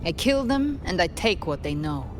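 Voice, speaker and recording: An actress playing a cool, guarded avenger in a video game, speaking in a grave, measured, secretive manner. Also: female voice, fairly low pitch, big pitch swings, music in the background. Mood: cool, intent. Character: cool, guarded female avenger and monster hunter. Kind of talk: scripted video game voice acting.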